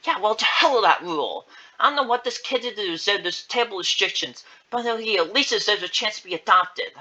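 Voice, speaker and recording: Bright, outspoken female caretaker, voiced by a reader. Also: medium pitch, sing-song, slow pace, highs cut off, voice pushed up, exaggerated voice. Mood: defiant. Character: brisk, outspoken female orphanage caretaker, encouraging.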